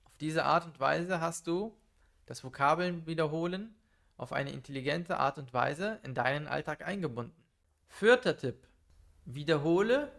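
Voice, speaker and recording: A man teaching German in a calm, methodical monologue, speaking slowly and clearly for learners. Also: fairly high pitch. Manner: calm, methodical, lists numbered tips.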